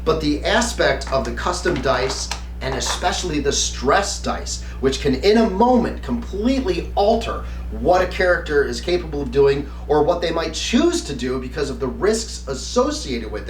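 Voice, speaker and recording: A middle-aged man hosting a review in an earnest, emphatic way, speaking to camera in a semi-scripted style. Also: medium pitch. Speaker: host, tabletop game reviewer. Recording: roomy, distant mic, faint background noise.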